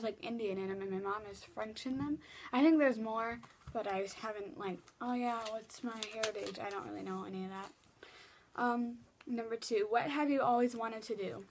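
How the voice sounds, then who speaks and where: A teenage girl talks in a fairly high voice, rambling and chatty, full of "um" and "like". She sits in a small bedroom in front of a laptop webcam, with a faint hiss under her voice. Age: teens. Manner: chatty, rambling with many fillers and restarts. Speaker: vlogger. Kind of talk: casual Q and A vlog. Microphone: laptop webcam mic.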